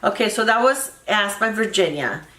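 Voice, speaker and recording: A woman's voice: medium pitch, lively intonation, moderate pace, fairly bright tone. A Cuban-born woman in her sixties, chatting candidly to camera as a beauty vlogger, rambling with many fillers. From Cuba. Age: 60s. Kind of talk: casual chat to camera. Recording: echoey room.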